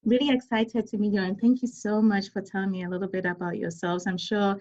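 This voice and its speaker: A woman's voice: mid-range voice, flat delivery, quick pace, a little mellow. A woman, a surgeon giving a conversational lecture over a video call with many ums and apologetic asides. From Ghana. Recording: dull lo-fi video call audio.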